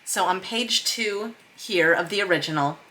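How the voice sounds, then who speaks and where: A woman vlogger talks the viewer through her work in a chatty, explanatory style. Her voice is bright and forceful, sitting a little lower than most women's. She leans on key words for emphasis. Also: normal speed, poor audio. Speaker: host of a filmmaking vlog series. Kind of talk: explanatory vlog to camera with screen share.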